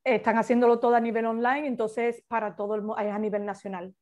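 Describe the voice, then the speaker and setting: Woman running a workshop, explains with lots of ehs and restarts, slightly high voice, muffled video call audio. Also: little pitch movement, quick pace, a little mellow. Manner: explanatory, many hesitations and restarts. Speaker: workshop facilitator. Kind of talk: informal workshop talk.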